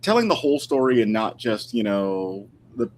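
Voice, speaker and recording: A man's voice: on the low side, sing-song, moderate pace, a little hiss or hum. A man talking casually and thoughtfully as a guest historian in an informal interview, picking his words tentatively over a video call.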